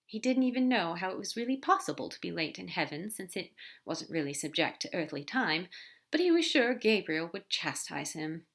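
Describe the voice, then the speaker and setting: A woman narrates a lighthearted story aloud in a slightly low voice. She reads with lively emphasis and drops into soft whispers for asides. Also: normal speed. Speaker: podfic reader. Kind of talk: narrating fan fiction aloud.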